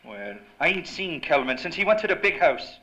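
An actor playing a blunt, suspicious bartender with slangy talk in an old-time radio drama. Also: male voice, fairly high pitch, big pitch swings, moderate pace, bright, forceful tone. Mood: gruff, guarded. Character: gruff, suspicious male barkeep in downtown dive. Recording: dull lo-fi live stage recording, clear noise.

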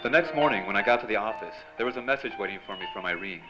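An actor playing an eager young reporter in a period Hollywood radio drama, speaking in casual wisecracking slang. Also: male voice, fairly low pitch, lively intonation, very fast, lightly acted. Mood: breezy. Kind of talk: radio drama acting with narration. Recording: dull lo-fi live radio-play recording, music behind.

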